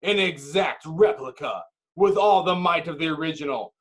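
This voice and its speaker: A man reading screenplay directions as dramatic narration, in emphatic clipped phrases with pauses. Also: fairly high pitch.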